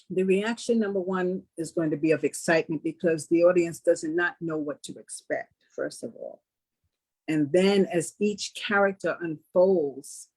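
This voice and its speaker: An American woman with a husky voice answers questions as an interview guest. Her delivery is casual, measured and deliberate. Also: fairly low pitch, a little mellow, poor audio. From New York. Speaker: stage play cast member as guest.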